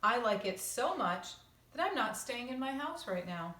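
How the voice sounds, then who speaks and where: A woman voicing a gentle, courteous male giant panda from a storybook, in a polite and welcoming manner. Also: a little high, big pitch swings, moderate pace, voice pushed up. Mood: warm, welcoming. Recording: roomy echo, distant microphone.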